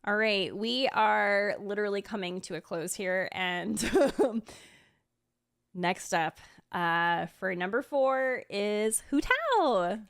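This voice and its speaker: A woman's voice: mid-range voice, expressive, slow, a bit bright, clean tone, no room sound, studio quality. A woman hosting in a casual, giggly way, giving enthusiastic reaction commentary as she goes through a ranking. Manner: gushing, enthusiastic. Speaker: video host and streamer.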